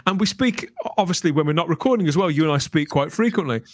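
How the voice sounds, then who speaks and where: A man's voice: a little high, lively intonation, quick pace, dry recording. A middle-aged man hosting a podcast in a conversational interview style, speaking into a close desk microphone at home. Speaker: podcast host. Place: small home room, indoors. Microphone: desk microphone close to the mouth.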